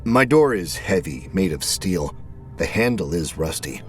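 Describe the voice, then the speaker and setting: Low-voiced brooding male storyteller. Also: very animated, measured pace. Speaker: narrator. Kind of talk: first-person scripted story narration. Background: background music.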